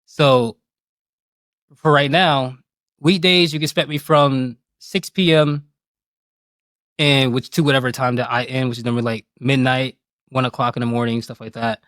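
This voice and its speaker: A man's voice: medium pitch, fairly even intonation, normal speed, long pauses, a bit bright, dry recording, clean studio sound. A man speaking as an interview guest about himself in a candid, reflective, colloquial way. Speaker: guest, game streamer. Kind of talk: casual interview conversation.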